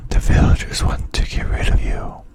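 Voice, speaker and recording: A man's voice: moderate pace, lightly acted. Man whispering as an earnest young storybook boy. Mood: urgent. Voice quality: breathy whisper.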